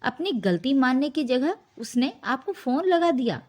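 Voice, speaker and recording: A woman's voice: high-pitched, sing-song, a little slow, heavily acted. A woman voicing a scornful, accusing middle-aged mother-in-law in a family story. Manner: sharp-tongued, accusing.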